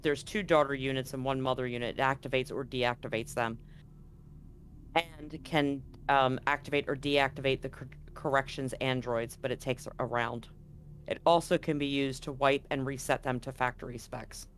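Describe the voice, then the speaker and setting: Bright-voiced man running a tabletop game. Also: mid-range voice, fairly even intonation, moderate pace, a little hiss or hum. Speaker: game master. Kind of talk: narrating a tabletop roleplaying game.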